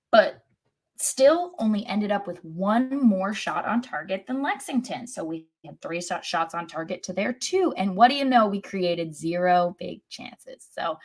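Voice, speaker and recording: Sarcastic woman with a lowish voice. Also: fairly bright tone. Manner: sarcastic, dry humor. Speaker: podcast co-host. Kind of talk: casual sports discussion.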